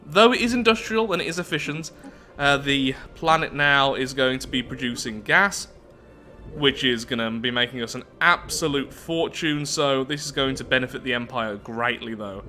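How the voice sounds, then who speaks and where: A man's voice: medium pitch, normal speed, pushed and bright, faint music behind. A man giving chatty gaming commentary, musing aloud and self-deprecating as he switches between his own thoughts and reading story text. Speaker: host of a game playthrough series.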